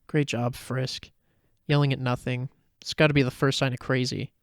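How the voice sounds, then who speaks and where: A man's voice: mid-range voice, little pitch movement, moderate pace, dry recording, studio quality, natural voice. A man voicing an anxious but determined, sarcastic teenage girl adventurer who talks to herself in an audio fan fiction. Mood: wry, muttering. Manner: wry, talking to self.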